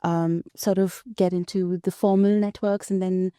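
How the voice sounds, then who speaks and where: Soft-spoken woman from India. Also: on the low side, little pitch movement, moderate pace, smooth, no room sound, studio quality. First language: not English. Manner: thoughtful, many ums. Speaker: guest researcher. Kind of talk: casual podcast conversation.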